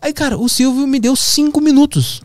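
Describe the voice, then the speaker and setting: A man's voice: extremely high pitch, brisk, no room sound, studio quality. A man with a Porto Alegre accent from southern Brazil, telling an anecdote casually and expressively, with slang and acted-out dialogue. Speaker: podcast guest, television producer and director.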